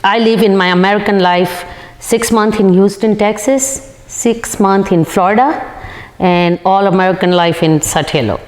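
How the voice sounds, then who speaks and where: A woman in her fifties with a Pakistani accent, answering interview questions in halting, non-native English. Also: mid-range voice. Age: 50s. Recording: reverberant hall-like room.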